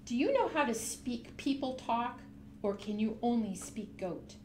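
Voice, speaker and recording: A woman's voice: on the high side, expressive, a little slow, darkish tone, slight background noise. A woman storyteller reading a picture book aloud expressively, speaking directly to young children.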